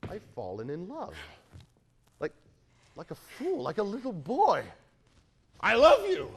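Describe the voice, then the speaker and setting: An actor playing an impulsive, lovesick landowner who stammers and swings between shouting and murmuring on stage. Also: male voice, high voice, huge pitch swings, measured pace, husky, exaggerated voice. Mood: smitten. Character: lovesick blustering male landowner, impulsive and excitable. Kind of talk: stage play performance.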